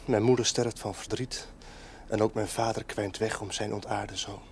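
An actor playing an embittered, lovesick young male outcast in a television drama, with a slow, brooding, softly taunting, theatrical delivery. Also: on the low side, steady tone, slightly rough. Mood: brooding, bitter. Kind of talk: 1970s Dutch television drama acting. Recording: noisy old television soundtrack.

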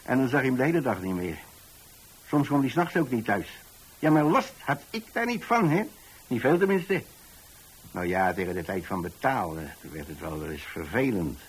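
A man's voice: mid-range voice, sing-song, normal speed, husky, low-quality recording. An actor playing an older retired landlord, tolerant and folksy, who tells his story hesitantly and repeats himself in a radio monologue. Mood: chatty, tolerant.

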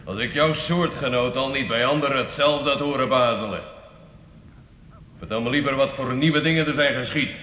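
Man acting a gruff, sharp-voiced castle lord. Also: mid-range voice, normal speed, natural voice. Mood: scornful, demanding. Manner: curt, commanding, contemptuous. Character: gruff, domineering, suspicious medieval castle lord. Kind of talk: radio drama acting. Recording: echoey, noisy old radio play recording.

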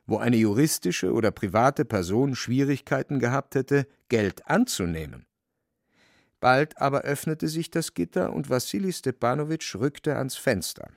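A man's voice: medium pitch, no echo. Dry, close-up male narrator. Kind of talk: literary narration in a radio play. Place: studio, very dry.

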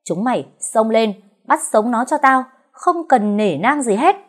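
A woman's voice: on the high side, lively intonation, measured pace, pitched above the performer's own voice. A woman voicing an angry older man, head of a household, barking orders. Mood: furious. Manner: bellowing orders.

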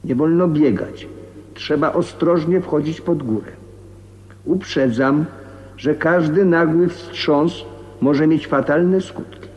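An actor playing a formal, blunt heart specialist, reading out a letter gravely and matter-of-factly as a radio drama voice-over, with heavy reverb. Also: male voice, a little high, darkish tone. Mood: grave, clinical. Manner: measured, grave, matter-of-fact. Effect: long reverb on voice. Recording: muffled, cavernous reverberant radio drama recording.

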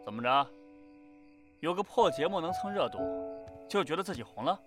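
A man's voice: a little high, expressive, fairly quick, music in the background, lightly acted. A man playing a furious, foul-mouthed boy-band manager who berates his members sarcastically in an audio drama. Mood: furious, scolding.

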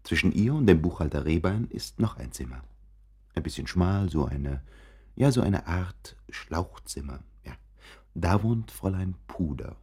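A man's voice: sits low, lively intonation, measured pace, warm tone, husky, heavily acted. A man playing a chatty, confiding narrator in a radio play, musing and interrupting himself with asides. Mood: amused, musing. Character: chatty, confiding male narrator showing listeners around an apartment.

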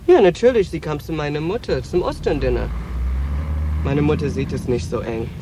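Male actor as brash, high-voiced street queen. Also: normal speed, close to an everyday voice. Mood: guarded, reflective. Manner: sharp, brash, emotional. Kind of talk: radio drama acting. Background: music, traffic and street sounds.

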